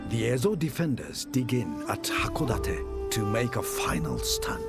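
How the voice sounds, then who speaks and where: A man acting as the solemn narrator of a historical strategy game, telling a war chronicle in a measured, grave, dramatic way. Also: medium pitch, wildly swooping pitch. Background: prominent music.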